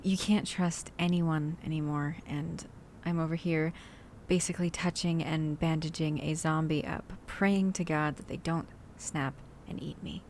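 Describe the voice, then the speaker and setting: A woman's voice: deep voice, fairly even intonation, measured pace, a little mellow, slight background noise. A woman playing a chatty, cautious zombie-apocalypse survivor in an ASMR roleplay audio drama, talking to the listener in rambling, hesitant asides. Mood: wary, uneasy.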